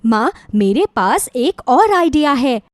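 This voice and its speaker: A woman's voice: sits high, big pitch swings, takes it slowly, dry recording, clean studio sound, heavily acted. A woman voicing a kind, cheerful young daughter who sells food, speaking politely and eagerly in a moral story. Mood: happy, eager.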